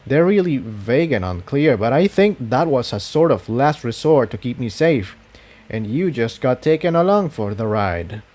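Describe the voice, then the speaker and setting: A man voicing a cautious male unicorn pony, serious and gentle, in a storybook adventure. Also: medium pitch, sing-song, normal speed. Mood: grave.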